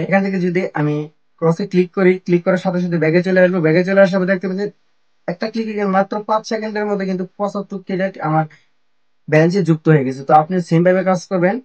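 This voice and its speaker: A man's voice: high voice, a little mellow, highs cut off. A man narrating an app tutorial step by step, in a repetitive, instructional way. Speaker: tech tutorial host.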